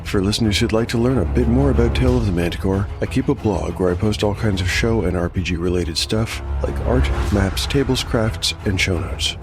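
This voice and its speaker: A man with a low voice reads as narrator and host of a fantasy audio show. His delivery is measured and articulate, with music clearly underneath. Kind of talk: scripted dark fantasy narration.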